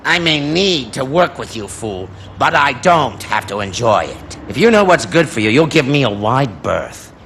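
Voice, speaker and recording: A surly video game supervillain. The voice is gravelly and swings widely in pitch, full of sneering contempt for whoever he must work with. Faint noise sits behind it. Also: big pitch swings, moderate pace, few pauses, fairly bright tone. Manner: sneering, hostile. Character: surly, contemptuous male supervillain forced into alliance. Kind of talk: video game character acting.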